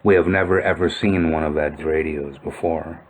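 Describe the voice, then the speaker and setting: A man's voice: a bass voice, fairly even intonation, moderate pace, low-quality recording. A man giving informal explanatory commentary on old photos, musing and thinking aloud as he goes. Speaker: video narrator.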